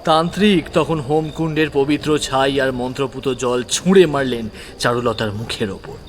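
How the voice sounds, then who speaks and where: A man's voice: mid-range voice, brisk, a little hiss or hum. A man narrating a horror story in a suspenseful, dramatic way. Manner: suspenseful, hushed at times.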